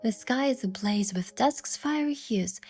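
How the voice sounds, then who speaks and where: A young woman in love, playful and excitable, with a fairly high voice, in a romance game. She shifts between storytelling and dialogue, with soft music behind her. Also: measured pace, breathy edge, heavily acted. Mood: narrating, calm. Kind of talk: romance game voice acting with first-person narration.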